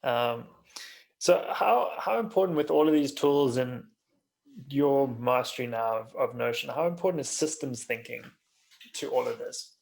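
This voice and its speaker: A man hosting a conversational podcast interview, halting as he pieces his questions together. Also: medium pitch, expressive, gravelly, a little mellow, rough recording. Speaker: podcast host interviewing a guest. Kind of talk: casual interview chat.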